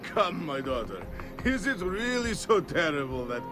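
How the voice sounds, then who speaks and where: A man's voice: a little high, big pitch swings, measured pace. A man playing an outraged, protective middle-aged Italian father in a video game, with an Italian accent. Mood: calling, impatient. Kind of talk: dramatic video game acting. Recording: music under the voice.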